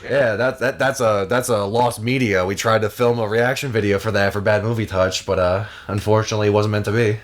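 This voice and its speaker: A man's voice: lowish voice, steady tone, fairly quick, hardly any pauses. A man co-hosting a casual comedy podcast, riffing and joking with frequent swearing. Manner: profane, riffing, jokey. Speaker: podcast co-host.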